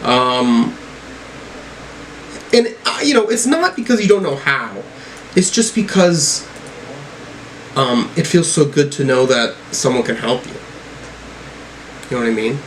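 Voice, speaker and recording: A man's voice: mid-range voice, sing-song, measured pace, long pauses. Man musing over music, noisy recording. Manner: musing, thinking aloud while reading out lyrics. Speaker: host of music reaction videos. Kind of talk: casual music reaction and commentary.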